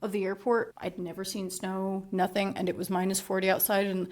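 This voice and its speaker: A woman's voice: mid-range voice, little pitch movement, brisk, darkish tone. A young adult woman from Dubai, a guest on a podcast, talking in a casual, reflective way and laughing often. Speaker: podcast guest, school principal.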